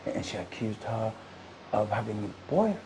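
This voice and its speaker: A man voicing an elderly father-in-law who explains events slowly. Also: fairly low pitch, very animated, noisy background. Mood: explaining. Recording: music behind.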